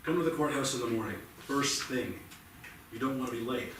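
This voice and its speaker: A man's voice: mid-range voice, fairly quick, a bit bright, close to an everyday voice. A man in a staged play reading, playing a police detective who speaks in a firm, blunt, procedural way. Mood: matter-of-fact. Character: firm, matter-of-fact male police detective. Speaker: actor. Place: theatre stage. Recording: echoey theatre, distant mic, noisy background.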